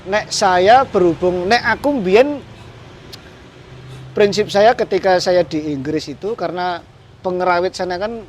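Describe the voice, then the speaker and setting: Javanese-accented man, fairly high voice. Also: unhurried, slight background noise. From Central Java, Indonesia. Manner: relaxed, mixes Javanese and Indonesian, chuckles often. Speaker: guest puppeteer. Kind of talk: casual interview chat.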